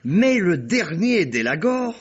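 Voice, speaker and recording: Animated, husky Provençal gentleman detective. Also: high voice, takes it slowly, full character voice. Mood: insistent. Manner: voluble, theatrical, digressive, deferential. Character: wily, jovial detective posing as a talkative Provençal gentleman. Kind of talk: old French radio mystery drama acting. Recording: muffled vintage radio recording.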